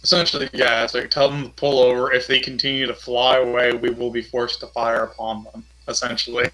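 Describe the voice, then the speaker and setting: Man with a bright, forceful voice. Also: medium pitch, level tone, fairly quick, low-quality recording. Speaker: tabletop game player. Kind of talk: in-character casual game chat.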